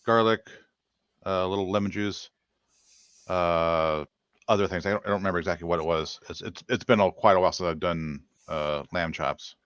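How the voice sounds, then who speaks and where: A man's voice: deep voice, normal speed, a bit bright, clean tone, no echo, dull audio. A man co-hosting a casual online cooking chat, easygoing and hesitant. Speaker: co-host.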